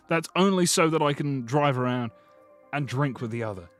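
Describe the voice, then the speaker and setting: A man with a slightly hoarse voice, rambling and joking freely as he chats through a livestream. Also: mid-range voice, lively intonation, moderate pace, faint music behind. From Britain. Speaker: streamer. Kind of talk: casual livestream commentary.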